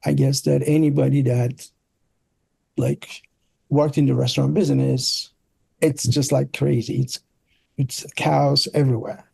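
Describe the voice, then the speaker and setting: A middle-aged man with a Turkish accent, telling stories casually as an interview guest, often halting and searching for words. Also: mid-range voice, flat delivery, creaky, dark tone, slightly rough, no room sound. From Turkey. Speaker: interview guest, product designer. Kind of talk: casual conversational storytelling.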